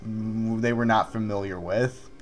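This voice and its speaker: A man's voice: on the low side, steady tone, unhurried, a crowd in the background. A man chatting casually through a let's play with friends, swearing freely and joking as he goes. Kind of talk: casual gaming commentary with friends.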